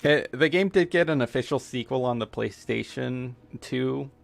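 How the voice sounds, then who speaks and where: US gamer, Spanish first language, gravelly, mid-pitched; rambling let's-play chat over quiet game music. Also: male voice, no echo. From United States. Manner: halting, full of fillers and restarts. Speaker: gamer streaming a playthrough. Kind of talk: casual let's-play commentary.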